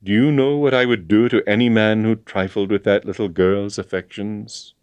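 A man's voice: lowish voice, fairly even intonation, moderate pace, darkish tone, smooth, no echo, close to an everyday voice. A man voicing a stern, sentimental old colonel with a grave, emphatic military manner, in a storybook reading. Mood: quietly menacing. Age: older.

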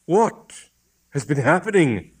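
A man's voice: mid-range voice, expressive, moderate pace, voice pushed up, lightly acted. A man voicing an earnest, fussy young man with an emphatic, wordy manner in a comic story. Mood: alarmed.

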